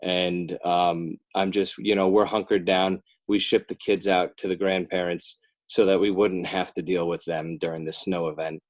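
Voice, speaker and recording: A low-pitched man with an American accent talks as a guest in a casual interview. He rambles a little, backs up to correct himself and pieces his answers together in a halting way. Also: little pitch movement. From Northern New Jersey, United States. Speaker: podcast guest, children's book author. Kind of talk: casual podcast interview chat.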